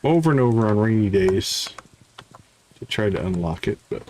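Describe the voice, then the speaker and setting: A man giving casual live video game commentary, chatting and thinking out loud. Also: fairly low pitch, expressive, normal speed, slight background noise, poor audio. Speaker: gaming streamer.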